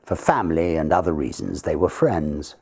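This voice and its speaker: A man reads literary tales with theatrical flair. His voice is extremely deep and heavily gravelled, with a husky grain, and his delivery is expressive and dramatic. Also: moderate pace. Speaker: ghost story reader. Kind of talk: dramatic literary reading, verse and prose.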